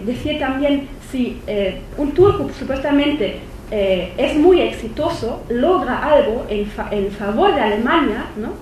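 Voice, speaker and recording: A woman speaking with a German accent, a non-native speaker giving an academic conference lecture at a university, hesitant, with many fillers and restarts. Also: fairly high pitch. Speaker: visiting lecturer. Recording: echoey lecture hall, faint noise.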